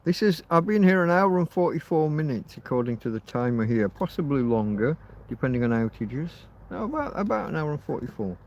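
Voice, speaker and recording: A man's voice: fairly high pitch, sing-song, brisk, darkish tone. Sweary middle-aged man rambling casually. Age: 50s. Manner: sweary, blunt. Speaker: livestream host. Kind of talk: rambling casual livestream chat. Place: outdoors in a field.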